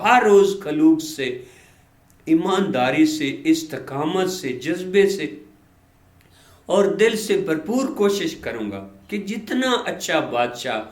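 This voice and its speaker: A humbled storybook king , voiced by a man, speaks in a fairly high male voice with solemn sincerity. The phrasing is deliberate, and the sound is roomy and echoing. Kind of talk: storybook moral tale.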